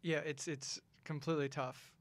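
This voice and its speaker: A man's voice: fairly high pitch, moderate pace. A man hosting a podcast in a small studio, chatting casually and rambling a little, with frequent laughs. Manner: relaxed, rambling, laughs often. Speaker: podcast host. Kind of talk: casual chat.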